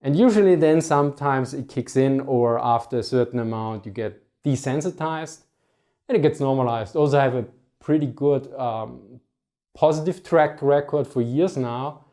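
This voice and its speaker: A man from Austria, a native German speaker with an Austrian German accent, hosting a history channel and explaining things in a measured way, sometimes correcting himself. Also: mid-range voice, mellow. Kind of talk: reflective talk to camera.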